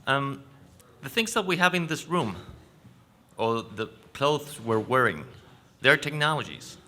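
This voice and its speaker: Conference speaker, male, Chilean Spanish accent, bright forceful voice, explanatory and halting delivery. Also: medium pitch, big pitch swings. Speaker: panel speaker. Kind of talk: conference presentation. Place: conference venue stage.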